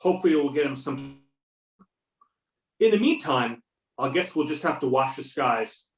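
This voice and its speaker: A man's voice: mid-range voice, expressive, normal speed, lightly acted. Weary male government agent, read by a man, dry and wry, roomy remote-call sound, off mic. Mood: casual, weary. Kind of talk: amateur readers theater over a remote call. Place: remote video or phone conference. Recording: roomy, distant call microphone.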